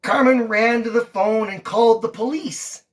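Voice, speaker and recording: A woman's voice: fairly high pitch, normal speed. A woman reading a storybook aloud to a child, acting out the lines expressively. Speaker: mother reading to her daughter on a livestream.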